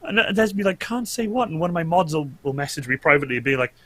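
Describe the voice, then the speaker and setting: A man's voice: fairly high pitch, animated, fast talker, a bit bright. A man from Wales with a Welsh accent and Welsh as his first language, a guest chatting casually about streaming, full of restarts and fillers. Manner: chatty, lots of restarts and fillers, laughs often. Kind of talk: casual chat on a live show.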